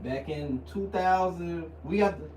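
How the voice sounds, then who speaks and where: Animated higher-voiced man in his thirties. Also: unhurried. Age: 30s. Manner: animated, laughing storyteller. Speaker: podcast co-host. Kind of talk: casual chat. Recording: roomy sound, distant mic, faint noise.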